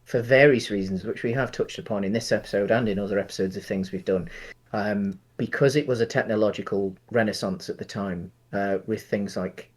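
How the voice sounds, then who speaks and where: A man co-hosting a podcast, chatting casually about films and thinking aloud in a halting way. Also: on the low side, steady tone. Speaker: podcast co-host. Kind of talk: casual film discussion.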